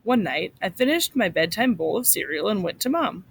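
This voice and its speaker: A woman reading a short story aloud to children in an expressive, instructive way, like a teacher. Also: mid-range voice, normal speed, creaky, slightly rough.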